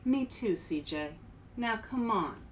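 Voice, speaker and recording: A woman voicing a wise, calm grandmother from a picture book in a gently instructive, measured way. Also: a little high, a little hiss or hum. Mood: warm, brisk.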